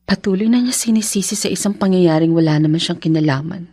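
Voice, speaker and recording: An actress playing a devoted young wife in a radio drama, speaking earnestly and tenderly. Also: female voice, lowish voice, fast talker, warm tone, dry recording, clean studio sound, natural voice. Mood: earnest, confiding.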